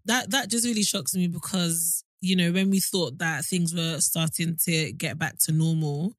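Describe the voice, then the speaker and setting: A woman's voice: on the low side, fairly even intonation, moderate pace, dry recording, clean studio sound. A woman in her late twenties co-hosting a podcast in a casual, chatty style. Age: 20s. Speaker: podcast co-host. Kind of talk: casual group chat.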